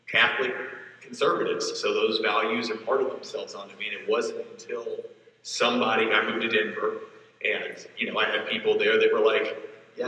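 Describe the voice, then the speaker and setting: A man from Atlanta speaking earnestly and reflectively as a panelist, halting a little, recorded from afar in a convention hall. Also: lowish voice, sharp tone, distant mic. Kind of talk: panel discussion. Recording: echoey hall, mic from afar.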